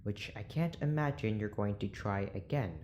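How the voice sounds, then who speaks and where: A man's voice: fairly low pitch, moderate pace, a little mellow, close to an everyday voice. Stern, exasperated male research doctor, acted by a man. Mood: curt, irritated. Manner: clipped, formal, exasperated. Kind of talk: audio drama acting.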